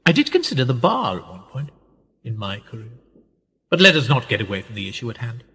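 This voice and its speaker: Male actor as older schemer, husky, theatrical, animated pitch, menacingly teasing, radio drama. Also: exaggerated voice. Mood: intent. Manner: theatrical, insinuating, drawn-out phrases, often hushed. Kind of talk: radio play acting. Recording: echoey hall-like reverb.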